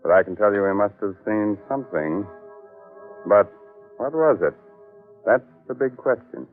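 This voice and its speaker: A man playing a sly, theatrical host of an old-time radio horror show, speaking deliberately. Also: lowish voice, lively intonation. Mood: sly, teasing. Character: sardonic male horror host, teasing and macabre. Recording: narrow old radio recording, faint music.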